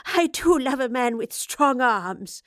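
A woman's voice: a little high, measured pace, no echo, clean studio sound. A woman reading a story, voicing a playful, eccentric female professor who teases and flirts. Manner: teasing, flirtatious, lively. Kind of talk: audiobook reading.

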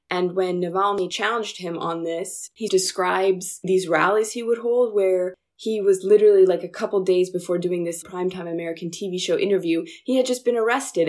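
A woman chatting informally and candidly in a discussion. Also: fairly low pitch. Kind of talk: casual chat about current affairs.

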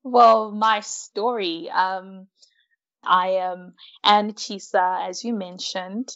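A woman's voice: medium pitch, fairly even intonation, takes it slowly, fairly bright tone, highs cut off. A woman in her twenties with a South African accent, chatting casually as an interview guest in a self-deprecating way. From Malawi, grew up in South Africa from about age six. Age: 20s. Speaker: interview guest, science postgraduate student.

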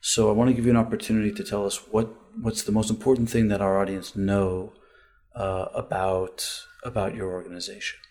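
A man interviewing a guest, asking questions with many pauses and fillers. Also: on the low side, little pitch movement, a little mellow.

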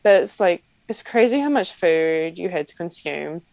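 Chatty New Zealand woman, gravelly low voice. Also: expressive, normal speed, a little mellow, no echo. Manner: chatty, frequent "like" fillers and light laughs. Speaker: professional cyclist guest. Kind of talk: casual interview answers. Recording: slightly dull lo-fi sound.

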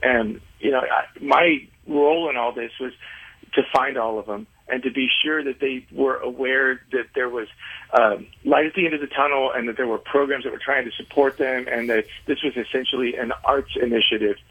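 A man being interviewed as a guest on a radio show, explaining things earnestly in halting phrases with repeated words. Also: medium pitch, fairly even intonation, slightly rough. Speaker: interview guest, independent venue organizer. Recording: tinny phone-like line.

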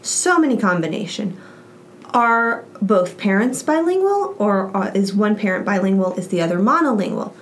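A woman and mother speaking as a podcast guest, talking in animated bursts with lively emphasis. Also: mid-range voice, normal speed, slight background noise. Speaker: guest, parent. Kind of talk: casual interview chat.

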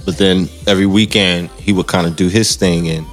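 A man's voice: low-pitched, steady tone, fairly quick, faint music behind. A man talking casually as an interview guest, explaining and telling stories in an emphatic way, often repeating phrases. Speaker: guest musician, singer and dancer.

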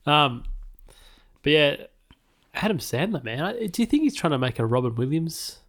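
A man's voice: on the high side, very animated, normal speed, fairly bright tone. A man co-hosting a podcast while getting over a cold, slightly nasal, chatting casually and laid-back about a film. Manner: laid-back, short agreeing replies. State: stuffy nose from a cold. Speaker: podcast co-host. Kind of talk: casual film review chat.

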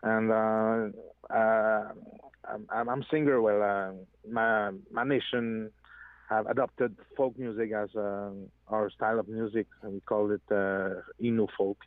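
A man's voice: lowish voice, little pitch movement, a little slow, no room sound. Hesitant man on a tinny phone line. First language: French. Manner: hesitant, searching for English words, many restarts. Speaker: guest singer. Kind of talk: interview over the phone. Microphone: telephone.

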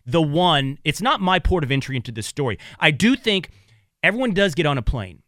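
A man in his thirties co-hosting a radio talk show, speaking in an animated way and restarting his sentences often. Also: on the high side, a little fast, no echo, studio quality. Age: 30s. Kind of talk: casual talk radio discussion. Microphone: studio microphone.

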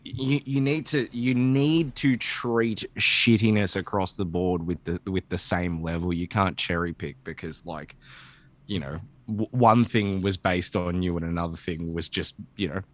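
A man chatting casually as a podcast co-host, rambling and repeating words as he thinks out loud, with casual swearing. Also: deep voice, steady tone, normal speed. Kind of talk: casual conversation about comic book films.

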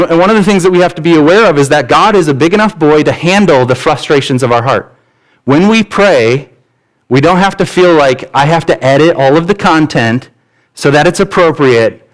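A man's voice: fairly high pitch, poor audio. A man preaching a sermon as pastor, earnest and conversational, often restarting his phrases. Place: church.

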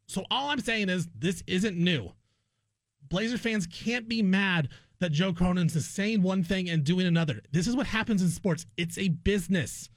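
A man with a fairly high voice hosts sports talk radio. He argues his opinions emphatically and hammers points home by repeating them. The sound is close and dry. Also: normal speed, no echo. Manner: emphatic, argumentative, repeats phrases for effect.

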